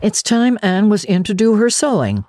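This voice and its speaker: A woman voicing a strict, sharp-tongued older woman who scolds briskly. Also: medium pitch, sing-song, dry recording, clean studio sound, pitched above the performer's own voice, close to an everyday voice. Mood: stern.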